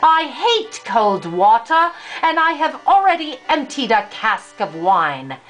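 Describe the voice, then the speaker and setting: Older woman as a miserable thirsty man, slow-spoken. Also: fairly high pitch, big pitch swings, fairly bright tone, faint music behind, full character voice. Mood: plaintive. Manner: plaintive complaining.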